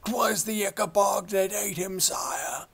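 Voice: mid-range voice, fairly even intonation, slow pace, vocal fry, a bit bright, husky, soft background music, voice pushed up, exaggerated voice. A reader voicing a tearful, simple old male shepherd who speaks in a rustic country dialect. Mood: mournful.

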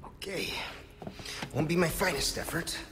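A man's voice: a little high, unhurried, noisy background, lightly acted. A man playing a roguish, guilt-ridden scientist in a dramatic video game. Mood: hopeful, urgent. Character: roguish, guilt-ridden male scientist, suave but weary. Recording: cinematic music behind the voice.

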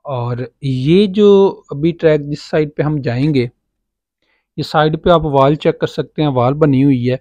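A man's voice: on the high side, a little slow, darkish tone, no echo. A man with a Pakistani Urdu accent gives a casual walking tour of a farmhouse as a property agent, pointing things out as he goes with many fillers. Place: outdoors on a farm property, walking.

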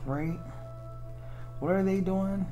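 A woman's voice: medium pitch, takes it slowly, warm tone, faint music behind. An American woman giving a casual, chatty tarot reading, musing aloud in informal, slangy, salty language. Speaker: tarot reader.

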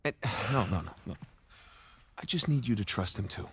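A man's voice: on the low side, lively intonation, moderate pace, a little hiss or hum, natural voice. An actor with an American accent playing a confident, loyal adventurer in his thirties in a game cutscene, casual, reassuring and earnest. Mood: earnest, insistent. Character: confident, loyal adventurer man planning a heist. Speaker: video game character. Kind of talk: naturalistic game cutscene dialogue.

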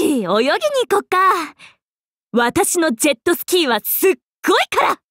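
A woman voicing a playful, cheerful young swordswoman in an anime mobile game, breezy, expressive and teasing like a big sister. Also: sits high, dry recording, clean studio sound. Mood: confident, breezy. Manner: breezy, expressive, teasing, casual with sudden formal turns.

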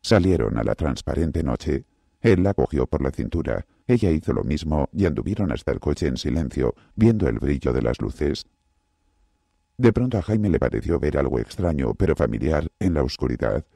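A man narrating a novel as an audiobook, with an expressive delivery. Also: normal speed, no room sound, studio quality. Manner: very deep, gravelly and expressive. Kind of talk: scripted narration.

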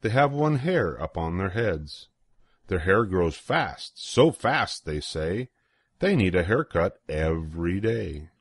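Older male storybook reader, lowish voice, very animated, dry close sound. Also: slow, no echo. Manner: playful, sing-song rhythm with swooping emphasis. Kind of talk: expressive read-aloud of a children's rhyming picture book.

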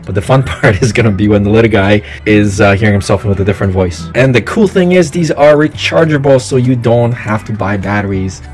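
A man's voice: fairly low pitch, lively intonation, brisk, hardly any pauses. A man giving a casual, chatty, salesy product review.

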